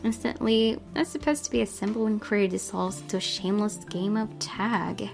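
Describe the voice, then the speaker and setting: A woman's voice: mid-range voice, fairly even intonation, moderate pace, gravelly, a little mellow. A woman reading a visual novel aloud as first-person narration. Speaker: gaming channel host reading aloud. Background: music.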